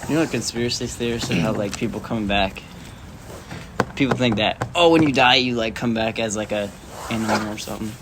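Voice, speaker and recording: A man's voice: lowish voice, fairly quick. Giggly rambling young man, noisy background. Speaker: podcast co-host. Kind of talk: casual chat.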